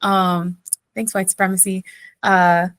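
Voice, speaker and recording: A woman's voice: medium pitch, level tone, measured pace, fairly bright tone, no room sound. Chatty woman pastor telling stories. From southeastern North Carolina. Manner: chatty, laughs often, many fillers. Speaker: pastor and podcast guest. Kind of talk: casual interview storytelling.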